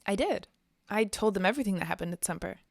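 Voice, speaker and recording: A woman's voice: mid-range voice, lively intonation, quick pace, gravelly, no echo, clean studio sound. A woman playing a guarded, self-doubting young trainee in an audio drama, hesitant and downbeat in naturalistic dialogue. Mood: troubled. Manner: hesitant, downbeat, clipped answers.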